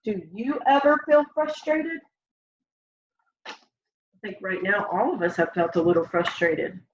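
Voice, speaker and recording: A young woman reading a children's picture book aloud in an expressive way, often putting questions to young listeners. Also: mid-range voice, unhurried. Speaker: storybook reader. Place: room at home, indoors. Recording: dull, lo-fi home webcam audio.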